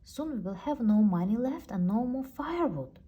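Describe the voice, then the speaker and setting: A woman's voice: a little high, animated, moderate pace, mellow, slightly rough, voice pushed up. A woman voicing a poor, kindly male cobbler in a read-aloud storybook, earnest and exclaiming. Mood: worried.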